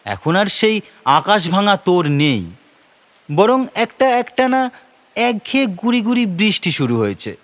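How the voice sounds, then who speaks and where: A man narrating a horror story in a suspenseful, expressive, dramatic manner. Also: high-pitched, a little slow. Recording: rain and noise behind narration. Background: rain.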